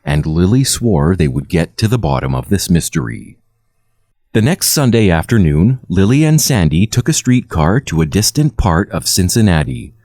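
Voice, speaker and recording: A man narrating a scripted scary bedtime story in a measured, expressive storytelling manner. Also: deep voice, dry recording, clean studio sound.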